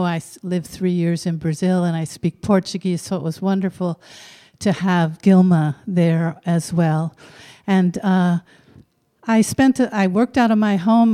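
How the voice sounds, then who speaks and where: A middle-aged woman tells a personal story to a live audience, frank and conversational. Her voice is mellow and smooth, and she speaks slowly, leaving room between thoughts. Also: fairly low pitch. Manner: frank, unhurried, conversational with occasional fillers.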